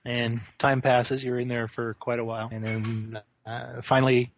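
A man running a tabletop game as game master, narrating with many pauses and fillers. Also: medium pitch, level tone, slight background noise. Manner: halting, many ums and uhs. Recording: dry, dull lo-fi call audio.

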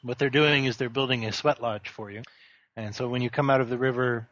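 A man running a tabletop game as game master, narrating with many pauses and fillers. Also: mid-range voice, fairly even intonation, fairly bright tone, no echo. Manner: halting, many ums and uhs. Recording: dry, dull lo-fi call audio.

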